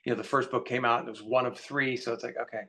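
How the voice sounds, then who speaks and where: A man's voice: medium pitch, fairly even intonation, rapid-fire, bright, forceful tone. A man speaking casually as an author guest in a conversation, rambling a little, with a joking, self-deprecating way of talking.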